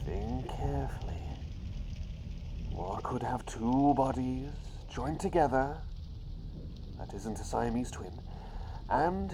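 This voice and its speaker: A man's voice: mid-range voice, animated, background noise. Man playing a whimsical, swooping talking bird. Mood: teasing, suspenseful. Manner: whimsical, chatty, teasing, drawn-out suspenseful delivery. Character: playful eccentric talking bird, a riddle-telling doctor. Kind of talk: ASMR roleplay, riddle-telling to the listener.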